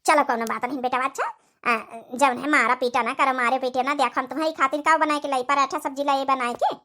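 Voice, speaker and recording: Cartoon role: woman performer as elderly Awadhi mother-in-law, very high bright voice, doting, coaxing rural manner. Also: fairly even intonation, a little fast. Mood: soothing, coaxing. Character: patient old village mother-in-law, doting and soothing. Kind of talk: Awadhi comedy cartoon voice acting.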